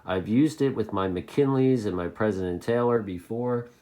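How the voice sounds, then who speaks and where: A man with an American accent and a fairly low voice talks off the cuff to fellow hobbyists. He rambles in a casual way, often saying a phrase twice to drive it home. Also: normal speed, dark tone. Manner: rambling, repeats phrases for emphasis. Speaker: CB radio hobbyist video host. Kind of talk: casual unscripted video chat to fellow hobbyists.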